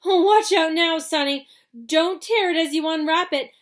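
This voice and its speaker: A woman voicing a fat male shopkeeper in a storybook reading, who goes from pleasant to wildly excited and yelling. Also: mid-range voice, normal speed. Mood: frantic. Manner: shrieking, yelling when excited.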